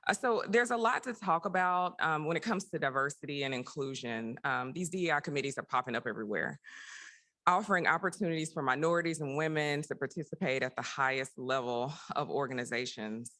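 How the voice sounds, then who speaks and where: A woman's voice: deep voice, fairly quick, a bit bright. A young woman, a medical fellow, giving a prepared conference presentation as a committee report.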